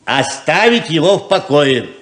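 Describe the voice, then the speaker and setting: An actor playing a patient middle-aged father of grown sons in a 1970s radio play, gentle and conciliatory. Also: on the high side, sing-song, unhurried, a little hiss or hum. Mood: gently amused.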